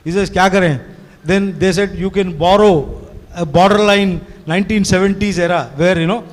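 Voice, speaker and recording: A man's voice: high voice, fairly even intonation, normal speed. A man of sixty whose first language is Tamil, chatting to an audience at a live event, rambling fluently with many "you know"s. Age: 60s. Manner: rambling, fluent, mixes Hindi and English, frequent "you know". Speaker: event organizer and speaker. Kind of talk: conversational talk to an audience. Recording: long hall reverb.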